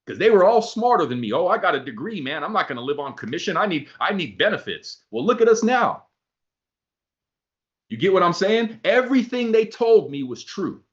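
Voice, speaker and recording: A man giving a motivational talk to a group, animated, acting out both sides of his stories. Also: high voice. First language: not English. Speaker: motivational speaker. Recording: dull lo-fi sound.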